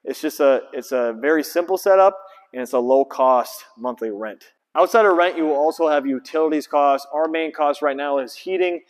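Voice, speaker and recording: A man's voice: a little high, moderate pace. A man in his thirties, a basketball trainer, casually walking through his gym and explaining with lots of fillers, on a lapel mic. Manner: casual, filler-heavy, explaining and pointing things out. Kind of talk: casual walkthrough vlog. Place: large empty indoor basketball gym. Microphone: clip-on lapel mic. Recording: long reverb of a big empty gym.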